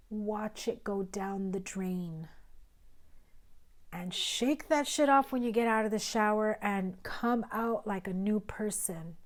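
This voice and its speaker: A woman with a hoarse, raspy voice gives a tarot reading, taking her time over every phrase. She talks earnestly to her viewers, leans into emphasis, now and then sinks to a whisper, and isn't shy about cussing. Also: a little high, a little mellow. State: hoarse, recovering voice. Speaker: tarot reader.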